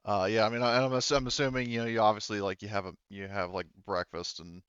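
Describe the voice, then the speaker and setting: Low, smooth-voiced male game master. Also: fairly even intonation, fast, a bit bright, no room sound, lo-fi sound. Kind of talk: hosting a tabletop roleplaying game session.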